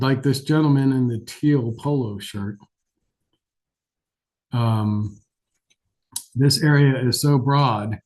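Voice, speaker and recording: A man with a scratchy voice from a cold delivers a webinar lecture. His tone is mellow and soft-edged, at a middling pitch. He talks conversationally and somewhat hesitantly, in a self-deprecating way, as a doctor addressing learners. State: has a cold. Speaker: geriatric physician giving a lecture.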